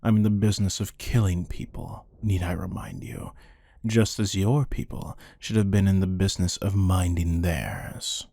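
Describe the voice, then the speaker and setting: A man playing a menacing, amused hired killer who taunts a captive in a deadpan, unhurried ASMR roleplay monologue. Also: deep voice, vocal fry. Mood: wry, musing.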